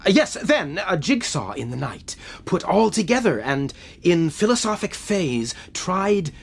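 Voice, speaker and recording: A man playing a lonely, stranded man in a radio drama, excitable and rambling as if unhinged by solitude. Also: high voice, gravelly, full character voice. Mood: uncertain, straining. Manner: rambling, wildly emotional, halting.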